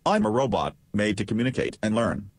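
Evasive interview chatbot, played by a man: lowish male voice, childlike bluntness, dry close sound. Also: very animated, brisk, breathy edge, no room sound. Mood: matter-of-fact. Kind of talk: reenacted text-chat interview.

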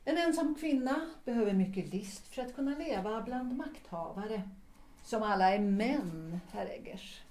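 An actress playing a proud, shrewd widowed mother in an audio drama, formal, deliberate and coolly insistent. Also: fairly high pitch, lively intonation, darkish tone, natural voice. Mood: assured. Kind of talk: Swedish radio drama dialogue. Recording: echoey room.

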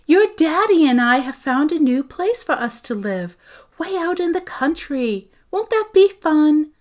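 A woman's voice: fairly high pitch, sing-song, a little slow. A woman reading aloud voices a cheerful, dreamy artist mother who coaxes her children with enthusiasm. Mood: coaxing. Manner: bright, coaxing, enthusiastic.

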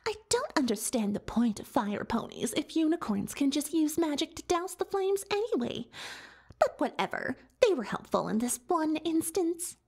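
A woman's voice: high voice, big pitch swings, normal speed, a little mellow. A woman playing a boastful, self-dramatising unicorn pony magician in an ASMR roleplay, telling her tale with haughty theatrical airs and confiding asides. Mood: wry, dismissive. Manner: haughty, theatrical, third-person self-reference, conspiratorial asides. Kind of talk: ASMR roleplay monologue, storytelling.

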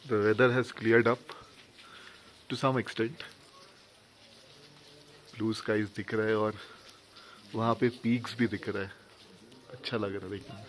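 A man in his thirties narrating a travel vlog, slow and amazed, mixing Hindi and English. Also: fairly low pitch, little pitch movement. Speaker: travel vlogger. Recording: dull lo-fi sound with faint noise.

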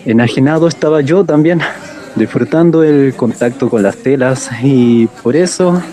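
A man's voice: medium pitch, moderate pace, mellow, close to an everyday voice. A man playing a lonely, wounded character who recalls childhood in reflective, literary radio drama monologue. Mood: wistful, wounded.

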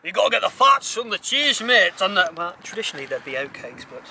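A man's voice: sits high, big pitch swings, quick pace, creaky, a crowd in the background. A young man chatting casually with friends outdoors on a hike, laid-back and bantering. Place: outdoors on a hiking trail.

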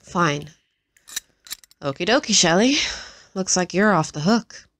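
Casting: actress as stubborn, loyal female assassin's sidekick for audio drama, lowish pitch, dry close recording. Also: expressive, a little slow, a bit bright, no room sound, heavily acted. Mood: grudging. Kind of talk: audio roleplay drama acting.

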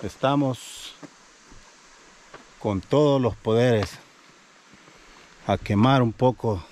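A man in his thirties talks to his viewers while hiking up a forest trail, with an action camera held at arm's length. His voice is mellow and of middle pitch, and he sounds a little winded from the climb. Faint outdoor sound sits behind him. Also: very slow, slight background noise. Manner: chatty, addresses viewers as "mi gente". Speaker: family vlogger. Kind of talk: casual hiking vlog narration.

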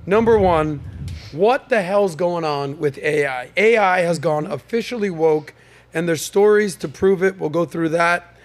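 A man's voice: on the high side, measured pace. A man hosting a podcast panel, upbeat and joking, with plenty of fillers and restarts. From United States. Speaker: podcast host. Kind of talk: casual panel talk show hosting.

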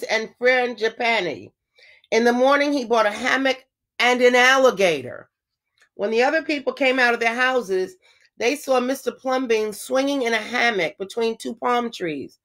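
High-voiced woman reading a storybook aloud. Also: a bit bright. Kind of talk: storybook read-aloud for children.

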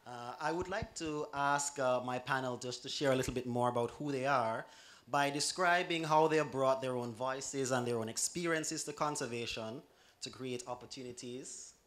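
Guy moderating a conference panel, measured, some uhs, handheld mic. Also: medium pitch, a bit bright. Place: conference room with audience.

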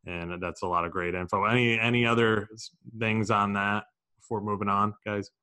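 A man's voice: on the low side, steady tone, moderate pace, sharp tone, clear, resonant tone. An American man in his thirties hosting and moderating a panel discussion, speaking into a close desk microphone. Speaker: podcast host. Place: small office studio. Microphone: large desk microphone, close.

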